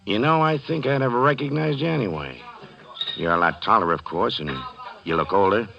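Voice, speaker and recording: An actor playing a middle-aged, smooth-talking former police chief with an American accent in a 1940s radio drama, folksy, coaxing and insistent. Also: male voice, lowish voice, moderate pace. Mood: hearty. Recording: dull old 1940s radio recording.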